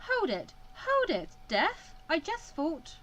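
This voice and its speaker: A woman's voice: very high-pitched, wildly swooping pitch, slow pace, a bit bright, lo-fi sound, slight background noise, pitched above the performer's own voice, exaggerated voice. A woman voicing an anxious, easily panicked young student mediator in a visual novel, stammering and exclaiming. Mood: shocked disbelief.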